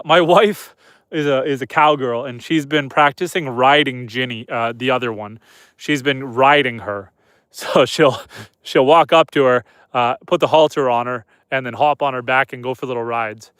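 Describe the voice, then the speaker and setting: A man from Canada talks in a loose, easygoing way, his voice thick and nasal from a head cold. His voice sits a little high for a man. The sound is dry and close, with no room echo. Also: smooth. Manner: relaxed, chatty, soft-spoken to the animals. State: stuffed-up nose from a head cold. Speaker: homesteader streaming live. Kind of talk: casual live-stream chat reading comments. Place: outdoors at night on a farm.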